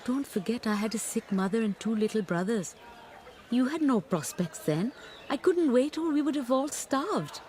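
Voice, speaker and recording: A woman's voice: a little high, expressive, moderate pace, a little mellow, a little hiss or hum, natural voice. A woman playing an earnest, lonely, world-weary and self-sacrificing woman in a radio drama, with formal, pleading phrasing. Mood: defensive, pained.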